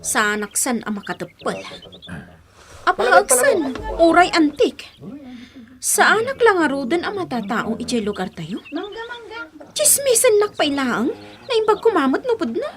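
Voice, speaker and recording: A young woman with a very high, animated voice. She is upset and indignant, exclaiming and pleading with a Filipino Ilocano accent in radio drama style. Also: unhurried, pauses often, crowd noise, heavily acted.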